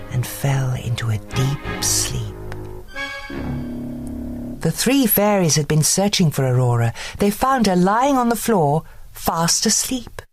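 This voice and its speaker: Woman, children's storybook narrator, low pitch, lively expressive melody, music behind. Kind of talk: scripted children's bedtime story read-aloud.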